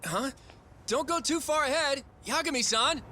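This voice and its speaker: An actor playing an eager, polite younger sidekick in a detective game dub. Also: male voice, extremely high pitch, normal speed, pushed and bright, a little hiss or hum. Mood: flustered. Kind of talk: English video game dub acting.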